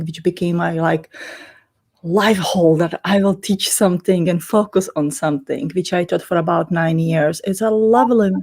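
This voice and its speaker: A woman's voice: on the low side, a little mellow. A middle-aged woman from the Czech Republic with a Czech accent, a native Czech speaker, explaining things casually and in a rambling way as an interview guest. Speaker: interview guest, energy healer.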